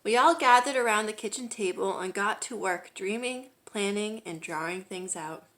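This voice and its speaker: A woman reads a picture book aloud for children. Her voice sits at a medium pitch, and she delivers the story expressively, leaning into key words like a storyteller. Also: normal speed, a bit bright.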